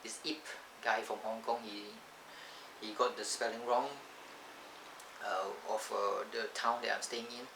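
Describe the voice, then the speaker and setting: A man's voice: little pitch movement, measured pace. Rambling Malaysian man on a thin camcorder mic. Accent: Malaysian English. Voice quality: thin sound, lacking bass. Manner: rambling, digressive, self-correcting. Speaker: vlogger. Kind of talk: casual rambling vlog talk. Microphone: built-in camcorder mic. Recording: camcorder audio with clear background noise.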